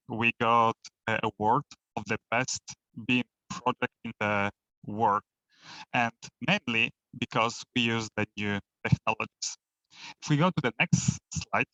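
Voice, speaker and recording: A man from Poland, a native Polish speaker, presenting a bridge design on a video call, in halting English with many fillers and a slightly crackly sound. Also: medium pitch, sharp tone, no room sound. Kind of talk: online presentation.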